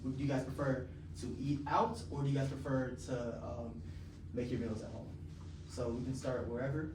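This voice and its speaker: A man's voice: medium pitch, level tone, normal speed. Young male moderator, hesitant delivery, recorded from across an echoey room with noisy background. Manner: hesitant, many filler ums and uhs. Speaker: focus group moderator. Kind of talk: reading focus group guidelines. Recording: roomy, distant mic, noisy ambience.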